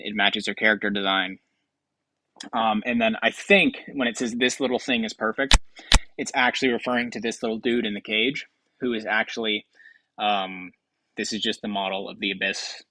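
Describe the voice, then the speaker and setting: A man's voice: lowish voice, pushed and bright, dry recording, rough recording. A man giving casual, rambling commentary as a video host, hesitant and self-deprecating, close to the mic. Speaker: video host ranking game voice lines.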